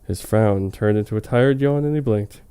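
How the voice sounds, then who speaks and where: A man's voice: fairly low pitch, normal speed, warm tone, clean tone. A man reading fan fiction on a comedy show in a hammy, mock-dramatic way, with casual, amused asides. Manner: hammy, amused, breaking off to comment.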